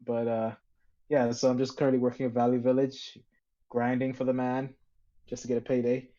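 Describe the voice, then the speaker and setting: A man talks as a guest filmmaker on a podcast. His voice is mellow and warm, and he speaks in a measured, slightly formal way with fillers. The recording is a little dull and lo-fi. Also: medium pitch, completely flat delivery. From Bahamas. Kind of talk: casual podcast chat.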